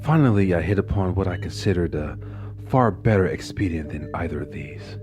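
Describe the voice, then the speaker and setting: A very low-voiced, tormented murderer, acted by a man, confesses in a theatrical Gothic horror style over clear music. His delivery is brooding and dramatic, that of a madman narrating his own dark tale. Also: expressive, brisk. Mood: coolly deliberate. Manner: theatrical, swings between breathy whispers and furious outbursts.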